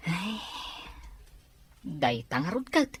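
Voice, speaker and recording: A woman's voice: on the low side, big pitch swings, slow, slightly rough, full character voice. A woman playing a reluctant, easily surprised employee in an audio drama, exclaiming and grumbling in an Ilocano accent.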